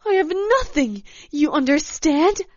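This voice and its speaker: A woman storyteller voicing a furious, irritable, demanding woman who snaps and wails loudly. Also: medium pitch, animated, extremely slow, no room sound, dull audio. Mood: furious, distraught. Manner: loud, snapping, wailing outbursts. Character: furious, shrill, foul-mouthed woman hiker, irritable and demanding.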